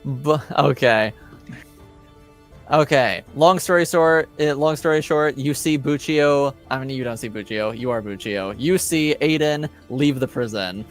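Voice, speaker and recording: A man running a tabletop game as game master, narrating conversationally and often pausing to repeat or rephrase himself. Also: medium pitch, soft background music.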